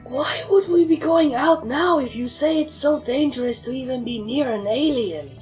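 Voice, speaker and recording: A woman voicing a brash, sceptical young female pegasus pony in a fan fiction story, blunt and quick to complain. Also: sits high, darkish tone, faint music behind, pitched above the performer's own voice, natural voice. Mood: puzzled.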